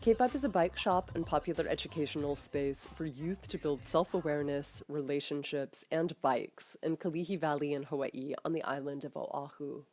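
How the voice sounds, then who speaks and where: A woman hosting a podcast, giving a calm, guiding scripted introduction. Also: low-pitched, normal speed, hardly any pauses, faint music behind. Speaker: podcast host.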